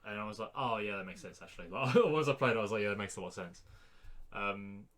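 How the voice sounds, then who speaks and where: A man's voice: fairly low pitch, fast talker, fairly bright tone. A man co-hosting a podcast in casual, informal chat, slightly hesitant and correcting himself as he goes. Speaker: podcast co-host. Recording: clearly noisy background.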